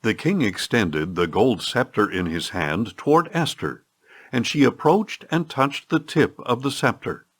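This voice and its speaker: A man reading scripture as an audiobook narrator, in an expressive, scripted storytelling delivery. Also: medium pitch, a little slow.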